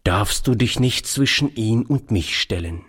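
A man voicing a gentle, humble old shopkeeper grandfather in an audiobook, in a mild, halting, earnest manner. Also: medium pitch, very animated, breathy edge, full character voice. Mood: earnest, resolute. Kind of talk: audiobook character voice.

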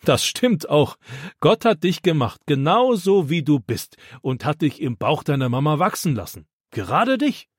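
A man's voice: on the high side, big pitch swings, moderate pace, breathy edge, dry recording, clean studio sound, voice pushed up. A man doing a kind pious old grandpa. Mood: gentle.

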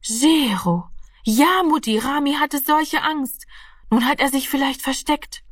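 Woman voicing an alarmed, high-pitched schoolgirl. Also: slow pace, dry recording, studio quality, recorded from a distance. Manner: alarmed, earnest. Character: frightened young schoolgirl, earnest daughter. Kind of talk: audiobook character voice.

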